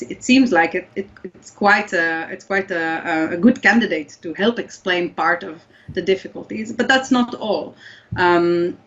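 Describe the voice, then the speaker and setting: A woman whose first language is Hebrew, explaining research in an interview and often restarting her sentences. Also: on the low side. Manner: thoughtful, many restarts and fillers. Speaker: guest researcher. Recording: slightly dull lo-fi audio.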